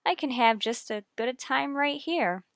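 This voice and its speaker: An American actress playing a wry, sardonic schoolteacher with dry delivery in a vintage radio sitcom. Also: female voice, sits high, lively intonation, normal speed, no echo. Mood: coy, wry.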